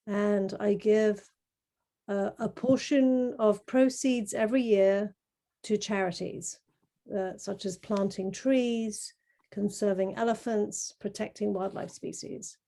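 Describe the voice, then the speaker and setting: A middle-aged woman with a soft-edged, mellow voice at an average pitch. She speaks in a measured, earnest way, presenting artwork to an online group over a video call. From grew up in Kenya. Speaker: artist presenting their work.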